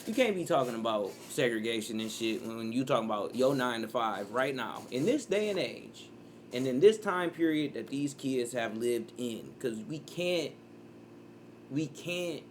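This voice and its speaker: A man, reacting to a clip in rambling casual commentary and breaking into loud outbursts. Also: mid-range voice, lively intonation, a little slow, slight background noise. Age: 30s.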